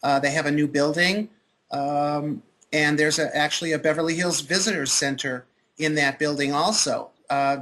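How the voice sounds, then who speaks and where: A man co-hosting a video-call interview, speaking in a relaxed, measured way with many uhs. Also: fairly high pitch, rough recording. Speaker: co-host. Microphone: headset.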